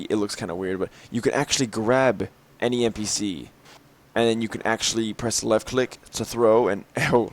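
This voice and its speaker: Young male gameplay narrator, lowish and a little raspy, casual and rambling, clear game gunfire under him. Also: slight background noise. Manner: rambling, frequent fillers and throat clearing. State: slightly raspy from lack of sleep. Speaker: gameplay video host. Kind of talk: mod showcase commentary. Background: game gunfire and clicks.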